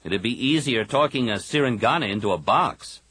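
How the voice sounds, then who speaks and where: A man's voice: medium pitch, lively intonation, moderate pace, pushed and bright, husky, no echo, pitched above the performer's own voice. Level-headed young Jedi brother, read by a man, plain delivery, dry close mic. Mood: thoughtful. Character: thoughtful teenage male Jedi, level-headed.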